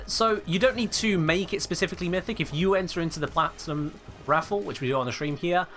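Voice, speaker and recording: Young English male streamer, late 20s, animated and a bit high-pitched, playful and mock-offended, faint game music under. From England. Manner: playful, mock-indignant, reads chat aloud. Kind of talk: casual live stream chat while gaming.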